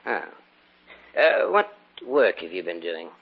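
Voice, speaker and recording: Polite lonely old gent in old radio play, lively up and down delivery, bit hesitant, dull sound. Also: male voice, sits low, exaggerated voice. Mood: courteous, probing. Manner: courteous, measured, mildly hesitant. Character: lonely, agreeable, genteel old gentleman. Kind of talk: old-time radio drama acting. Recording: muffled vintage radio recording.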